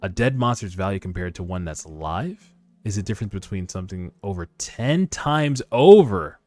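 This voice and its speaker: A man voicing a polite, businesslike corporate recruiter in a measured, formal way for a webtoon read-aloud. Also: low-pitched, lively intonation, mellow, no room sound. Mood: measured, courteous. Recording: background music under voice.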